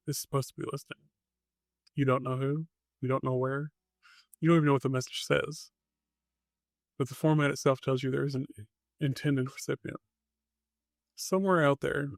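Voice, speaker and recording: A man's voice: medium pitch, vocal fry, no echo, studio quality. A man hosting a podcast, reading scripted narration in short dramatic phrases with wry asides. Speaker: podcast host.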